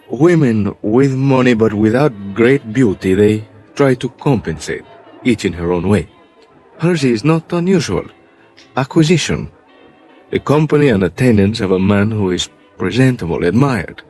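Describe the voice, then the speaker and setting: An actor playing a suave, proud bullfighter with a Spanish accent and formal, measured phrasing in a classic radio drama. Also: male voice, lowish voice, darkish tone. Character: suave, proud male Latin bullfighter, worldly and frank.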